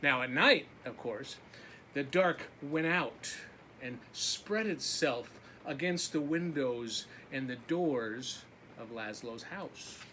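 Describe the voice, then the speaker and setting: A man's voice: medium pitch, expressive, slow pace, sharp tone, slight background noise, low-quality recording. A man reading a picture book aloud as a teacher, with simple asides to his young listeners.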